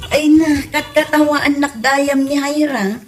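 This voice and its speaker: A woman's voice: sits high, steady tone, measured pace, over music. A woman acting a complaining villager in an Ilocano comedy drama, speaking with an Ilocano accent.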